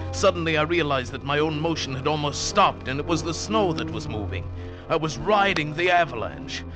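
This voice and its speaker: An actor playing a mountaineer who recounts his ordeal in dramatic, deliberate phrases, in the style of mid-century radio drama. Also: fairly high pitch, natural voice. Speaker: narrator and lead actor. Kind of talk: 1940s-50s radio drama narration. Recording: vintage radio recording with music behind.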